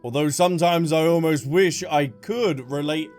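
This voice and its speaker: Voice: mid-range voice, moderate pace, darkish tone. Casual gaming streamer over game music.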